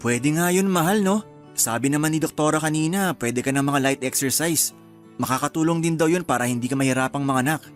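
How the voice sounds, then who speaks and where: A man's voice: fairly high pitch, brisk, soft background music, lightly acted. A man playing an easygoing, reassuring young husband in a radio drama. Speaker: husband character.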